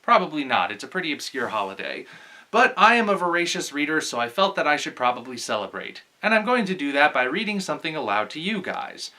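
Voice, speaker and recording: A man with a fairly high, bright voice presents and reads aloud to viewers. His pitch swings widely as he goes. The delivery is articulate and theatrical, like a keen amateur storyteller. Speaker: vlog host reading aloud from a novel. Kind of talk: book read-aloud with casual address to viewers.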